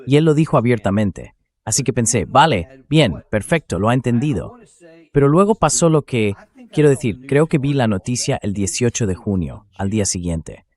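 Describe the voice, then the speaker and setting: A man's voice: medium pitch, lively intonation, moderate pace, a little mellow. A synthetic male voice-over reading a translated conversation about war and politics in an interview style.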